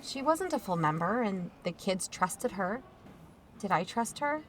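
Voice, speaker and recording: An actress playing a watchful, protective aunt in a first-person audio drama, conversational and wry. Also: female voice, medium pitch, very animated, measured pace, slight background noise. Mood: uneasy narration. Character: watchful, protective aunt narrating her own mystery.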